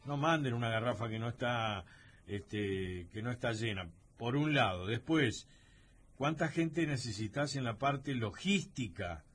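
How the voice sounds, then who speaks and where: A man's voice: mid-range voice, lively intonation, measured pace, a bit bright, husky. A man hosting a radio talk show, thinking aloud with many hesitations and fillers. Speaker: radio host.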